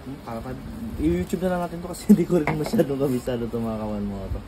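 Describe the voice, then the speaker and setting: A man talks through an unboxing in a loose, chatty way, with plenty of fillers and laughs. His voice sits at an ordinary middle pitch. Loud noise and vehicle sounds run behind him. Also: quick pace. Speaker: moto vlogger. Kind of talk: casual unboxing vlog.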